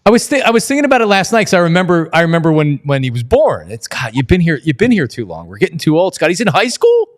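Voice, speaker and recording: A man's voice: fairly high pitch, huge pitch swings, quick pace, few pauses. A middle-aged man hosting a sports show, interviewing a guest in a casual, chatty way.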